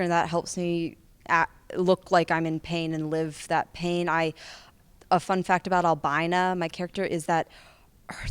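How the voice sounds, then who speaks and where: A teenage female student actor with an American accent, answering interview questions conversationally in a clear voice. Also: fairly low pitch, dry recording. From United States. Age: teens.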